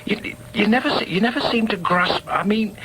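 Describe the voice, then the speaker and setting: An actor playing an earnest, wary middle-aged communist trade unionist father with a Northern English accent, halting and plain-spoken, in a naturalistic radio drama. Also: high-pitched, sharp tone, slight background noise, low-quality recording. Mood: exasperated. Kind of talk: naturalistic radio drama acting, dialogue and inner thoughts.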